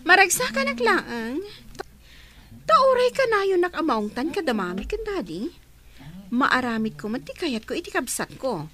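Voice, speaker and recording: Shrill, haughty spoiled teenage girl. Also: very animated, normal speed, bright, forceful tone, exaggerated voice. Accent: Filipino, Ilocano. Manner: haughty, snappish, whining. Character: spoiled, haughty, bossy teenage daughter of a rich family. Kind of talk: radio drama acting.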